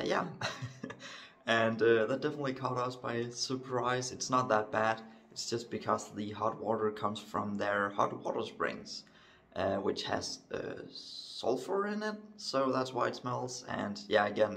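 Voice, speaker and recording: A man's voice: medium pitch, gravelly, a bit bright. A young man chatting casually to the camera in an amused way, laughing easily.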